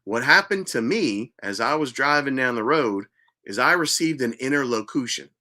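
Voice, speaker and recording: Earnest, bright-voiced man. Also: medium pitch, lively intonation, normal speed. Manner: earnest, repetitive, emphatic storytelling. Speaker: host. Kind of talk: personal testimony monologue.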